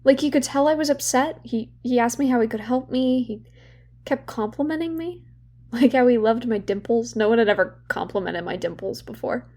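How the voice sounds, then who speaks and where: An actress playing a young woman in therapy in an audio drama, bitter and regretful, confiding in a halting, sardonic, conversational way. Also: on the high side, animated, warm tone. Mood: wistful. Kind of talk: naturalistic audio drama monologue.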